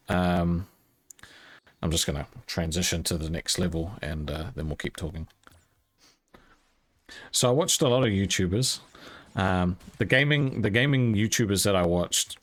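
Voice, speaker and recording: Young adult male, lowish pitch, candid off-the-cuff gamer storyteller, very dry recording. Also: no echo. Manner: candid, informal, swears casually. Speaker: YouTube content creator. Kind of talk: casual rambling storytelling over gameplay.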